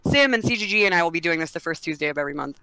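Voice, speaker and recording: A woman's voice: lowish voice, lively intonation, rapid-fire, a crowd in the background, poor audio. A young woman from Kentucky hosting a casual podcast chat, bubbly and giggly, greeting viewers by name. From Kentucky, USA.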